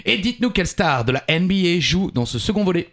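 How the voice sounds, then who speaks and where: A man's voice: high voice, big pitch swings, quick pace, a bit bright, faint music behind. A man co-hosting a podcast quiz in a casual, jokey, self-deprecating chat.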